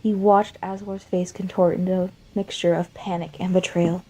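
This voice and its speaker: A woman's voice: on the low side, little pitch movement, moderate pace, warm tone, poor audio. A woman reading and chatting as an amateur fan fiction writer and narrator. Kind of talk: scripted story narration and casual chat.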